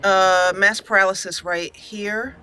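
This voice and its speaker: A woman's voice: mid-range voice, steady tone, slow pace, over music. A woman hosting a let's play with casual gameplay commentary, chatty and thinking aloud.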